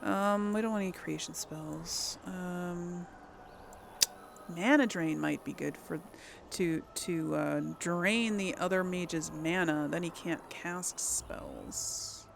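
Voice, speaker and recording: Slow-talking woman with a creaky voice. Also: fairly low pitch, a little hiss or hum. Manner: hesitant, musing, trailing off. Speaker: let's player hosting a role-play playthrough. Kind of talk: casual gaming commentary, thinking aloud.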